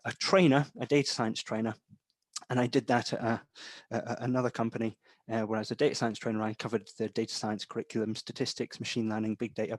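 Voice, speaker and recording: A young man with a mild Scottish accent, softened by English parents, giving a chatty instructor's talk full of ums and a habit of asking "right?". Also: medium pitch, no room sound. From Scotland. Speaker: data analytics instructor. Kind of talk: webinar-style lecture.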